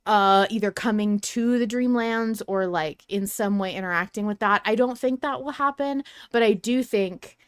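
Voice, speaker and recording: A woman hosting a casual fan discussion podcast, theorizing in an animated way with some swearing. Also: mid-range voice, moderate pace, hardly any pauses, clear, resonant tone, no echo, clean studio sound. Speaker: podcast host.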